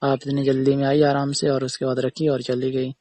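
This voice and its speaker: A man's voice: mid-range voice, completely flat delivery, fairly quick, dark tone, no echo, close to an everyday voice. A man playing a defensive tailor who keeps explaining himself insistently to a customer in a casual phone conversation. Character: defensive male tailor arguing patiently with a customer.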